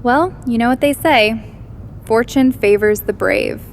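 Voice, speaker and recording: A woman playing a calm, reassuring, politely detached AI robot in an audio drama. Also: on the high side, measured pace, a little hiss or hum. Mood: calm reassurance. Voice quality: soothing, calming. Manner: even-tempered, politely detached. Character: calm, reassuring female AI robot, secretly indifferent to everything.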